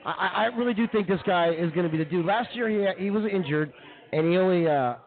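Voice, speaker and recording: Sports co-host: American man, quick and fairly high-voiced, colloquial, narrow phone-like broadcast sound. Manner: colloquial, opinionated. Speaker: radio co-host and baseball commentator. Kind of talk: casual sports chat. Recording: tinny band-limited live broadcast.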